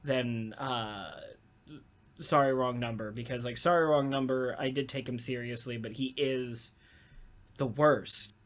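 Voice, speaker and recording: A man, a voice actor, chatting casually and jokingly in a halting, rambling way. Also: mid-range voice, steady tone, fairly bright tone. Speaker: voice actor on a podcast talkback. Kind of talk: casual chat.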